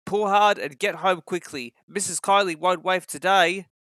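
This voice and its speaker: A man playing a kindly, earnest railway engine driver in a storybook, speaking briskly. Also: high-pitched, a bit bright, no echo. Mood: matter-of-fact.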